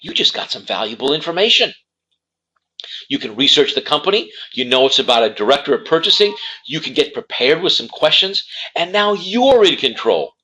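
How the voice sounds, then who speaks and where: A man's voice: fairly high pitch, sing-song, normal speed, pushed and bright, slightly rough, poor audio. A man presenting as a career coach, giving instructional advice in an emphatic way and repeating key words for stress. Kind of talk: instructional talk to camera.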